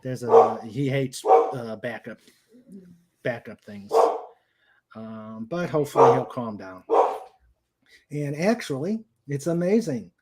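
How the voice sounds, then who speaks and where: A man hosting a casual livestream chat, speaking haltingly as he searches for his words. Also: medium pitch, animated, darkish tone. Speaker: host. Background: dog noises and clicks.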